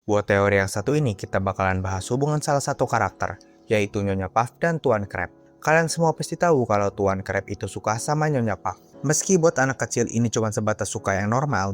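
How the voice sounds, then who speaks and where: A man narrates stories for online video, casually addressing viewers in slang. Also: medium pitch. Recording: music behind the voice. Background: background music.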